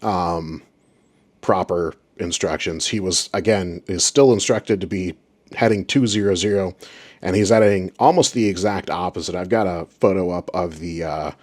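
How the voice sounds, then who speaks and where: A man's voice: sits low, moderate pace, a little mellow. A man giving informal commentary as an aviation audio reviewer, with an exasperated, sarcastic way of ranting. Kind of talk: informal commentary on radio recordings.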